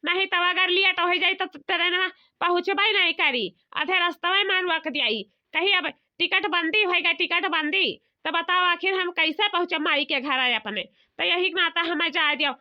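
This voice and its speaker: A woman's voice: very high-pitched, normal speed, hardly any pauses, dull audio. A woman playing a bossy, fretful village housewife in a comedy cartoon, chatty, scolding and repetitive, with an Awadhi accent.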